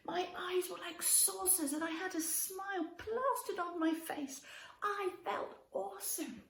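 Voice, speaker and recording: A woman reading a children's story aloud in an expressive way, speaking directly to young listeners and prompting them. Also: very high-pitched, unhurried. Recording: roomy echo with faint background noise.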